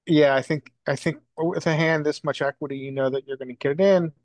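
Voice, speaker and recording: A man's voice: a little high, steady tone, fairly quick, no echo. A man in a poker study group answering the coach, reasoning step by step on a video call.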